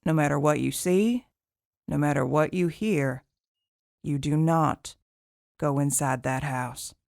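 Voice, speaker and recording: A woman playing a gruff, folksy older park warden who gives orders in colloquial style, in an audio drama. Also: low voice, slow pace, a little mellow, dry recording, studio quality, exaggerated voice. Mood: grave warning.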